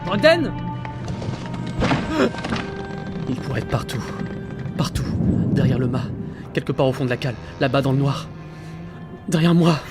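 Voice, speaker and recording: An actor playing a frightened, isolated seaman in an audio drama, halting and anxious, repeating himself. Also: mid-range voice, lightly acted. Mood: terror. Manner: halting, anxious, breathy repetitions. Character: frightened, isolated young seaman keeping a logbook.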